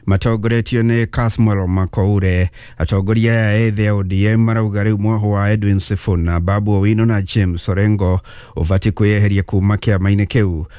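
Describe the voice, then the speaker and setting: Deep, dark-toned male news anchor. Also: clear, resonant tone, no echo, clean studio sound. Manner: measured, formal delivery. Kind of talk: scripted news reading. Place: radio studio.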